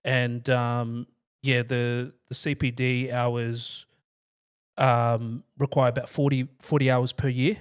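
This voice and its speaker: A man with an Australian accent speaks at a middle pitch in an easygoing, down-to-earth way, explaining things plainly as an interview guest. He restarts his phrases often, as if thinking aloud mid-conversation. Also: slow pace, clean tone. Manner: relaxed, down-to-earth, with frequent restarts. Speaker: financial planner guest. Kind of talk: casual interview answers.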